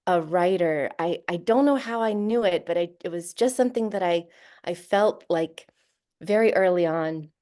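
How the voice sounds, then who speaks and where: A woman's voice: on the low side, darkish tone. A woman author from Florida talking in an interview, thoughtful, with many fillers and light laughs. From Central Florida, USA. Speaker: author and guest. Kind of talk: casual interview talk.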